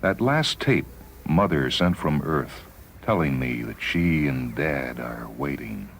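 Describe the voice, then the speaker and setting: A man's voice: extremely low pitch, lively intonation, takes it slowly, creaky, exaggerated voice. A man playing a dying spaceman who reflects wistfully and earnestly, with a hesitant dramatic delivery, in a dramatized short story. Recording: noisy vintage radio drama recording.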